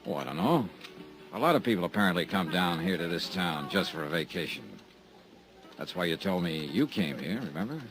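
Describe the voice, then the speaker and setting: An actor playing a wisecracking insurance investigator in a 1950s radio drama, talking dry and laconic. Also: male voice, low-pitched, animated, fairly quick, fairly bright tone. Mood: flirting. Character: wry tough male private insurance investigator. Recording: old radio recording with music.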